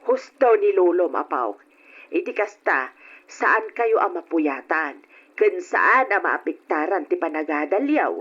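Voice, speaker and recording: A woman playing a caring old grandmother in a radio drama, fretting in a measured, motherly way. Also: on the low side, sing-song, fairly bright tone, breathy edge, heavily acted. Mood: concerned. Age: older. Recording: dull, noisy old radio recording.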